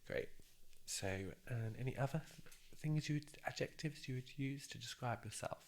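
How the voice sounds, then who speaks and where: A man speaking softly and breathily in an ASMR job interview roleplay, gently encouraging and a little hesitant. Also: mid-range voice. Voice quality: soft, breathy voice with whispery moments. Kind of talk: soft-spoken ASMR roleplay.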